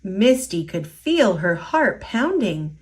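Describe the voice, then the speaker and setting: Slow, expressive woman storyteller. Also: medium pitch. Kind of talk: children's picture book read-aloud.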